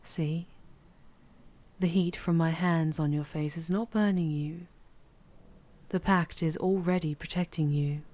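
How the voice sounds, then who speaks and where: A woman voicing a seductive, proud, ancient female demon in an ASMR audio roleplay, speaking formally and deliberately with a teasing, commanding charm. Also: deep voice, steady tone, warm tone. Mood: intimate, commanding.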